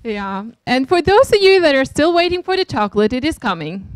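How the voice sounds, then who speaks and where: Young female organizer, high-pitched, cheerful and joking, handheld mic, dry. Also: very animated, fairly quick, dry recording. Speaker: class organizer. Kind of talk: announcing to a class. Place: indoor classroom with audience.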